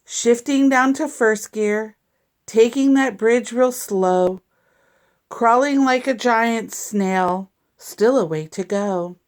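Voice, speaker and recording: A woman reading a picture book aloud to young children in an expressive, deliberate, teacherly way. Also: a little high. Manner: deliberate, animated reading with sound effects and asides to listeners.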